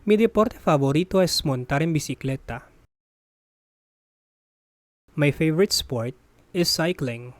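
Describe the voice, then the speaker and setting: A Spanish teacher's voice reading a bilingual phrase drill, saying each phrase, translating it, then repeating it deliberately. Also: medium pitch, expressive, no echo.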